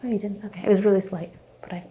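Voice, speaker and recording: A woman in a soft-spoken, often whispered ASMR roleplay as a chiropractor, giving gentle, reassuring instructions. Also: mid-range voice, steady tone, rapid-fire, a little hiss or hum. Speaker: ASMR host playing a chiropractor.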